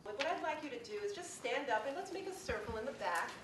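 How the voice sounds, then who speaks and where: Woman running a workshop, emphatic, kinda high bright voice, roomy distant mic w some noise. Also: expressive, quick pace. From Brooklyn, New York, United States. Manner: emphatic, stretches key words, checks in with "okay?" and "right?". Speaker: diversity workshop trainer. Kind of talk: spontaneous workshop talk to a group. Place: indoor meeting room with a group. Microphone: distant room microphone.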